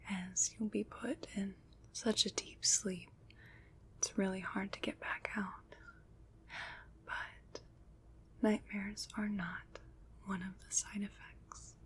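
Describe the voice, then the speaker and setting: Very slow, whispering witch played by a woman. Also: medium pitch. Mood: soothing, concerned. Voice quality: soft breathy whisper. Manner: slow, tender, formal old-fashioned phrasing. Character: gentle, soothing female witch, caring and slightly mysterious. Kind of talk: whispered ASMR roleplay.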